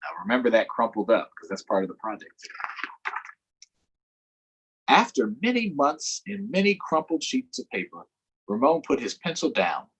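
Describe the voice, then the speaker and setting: Animated, husky man hosting children's storytime. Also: medium pitch, moderate pace, long pauses, poor audio. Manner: addresses young viewers directly. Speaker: storytime host, councilmember. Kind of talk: children's read-aloud and live chat.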